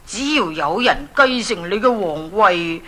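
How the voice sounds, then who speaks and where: A woman playing a mysterious, all-knowing prophet in a children's radio drama, deferential and ceremonious, with hesitant, drawn-out phrasing. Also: mid-range voice, animated, fairly bright tone. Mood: reassuring, cryptic.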